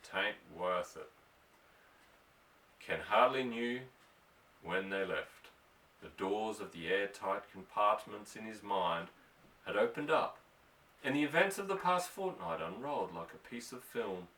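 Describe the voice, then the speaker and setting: A man's voice: on the low side, expressive, measured pace, sharp tone. A man reading a novel aloud as scripted literary narration. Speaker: audiobook narrator. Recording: roomy echo, distant from microphone.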